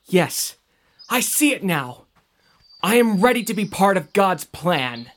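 An actor playing an earnest, excitable young male traveler in an audio drama, expressive and sometimes hesitant. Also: high voice, slow pace, full character voice. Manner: expressive, wide-eyed. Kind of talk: scripted audio drama acting.

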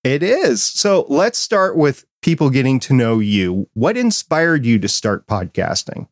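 A man speaks as a podcast host in a conversational announcing style. His mid-pitched voice is recorded dry, with very little room sound around it. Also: very animated, unhurried, dry recording.

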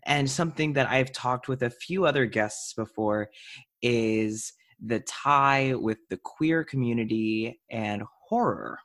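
A man's voice: mid-range voice, slow pace, a bit bright. A man hosting a podcast in a casual, informal chat, often dropping "like" into sentences. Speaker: podcast host.

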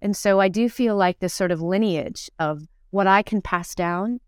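A woman's voice: medium pitch, normal speed, clear, resonant tone, no room sound, clean studio sound. A middle-aged woman, talking casually and reflectively as an interview guest, thinking aloud as she goes. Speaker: interview guest, musical theatre performer and teacher.

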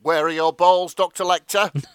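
A man voicing a sultry Scottish celebrity chef who judges food gravely in a comic story reading. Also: high voice, fairly even intonation, moderate pace, fairly bright tone, dry recording, studio quality, voice pushed up. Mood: demanding. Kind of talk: fan fiction read-aloud, comic.

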